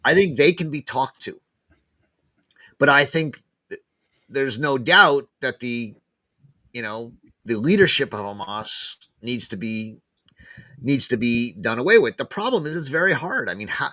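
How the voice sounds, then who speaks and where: Bright-toned man, halting and reflective. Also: mid-range voice, animated, dry recording. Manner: hesitant, many restarts and repeated words. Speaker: guest professor. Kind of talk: casual podcast interview.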